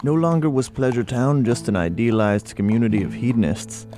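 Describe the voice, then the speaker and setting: A man giving scripted narration for a historical documentary, telling it deliberately like a storyteller. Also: medium pitch, lively intonation, dark tone. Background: faint plucked guitar.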